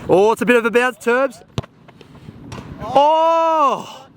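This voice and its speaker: A man on an outdoor pitch excitedly shouting encouragement during warm-ups. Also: takes it slowly, noisy background. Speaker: teammate.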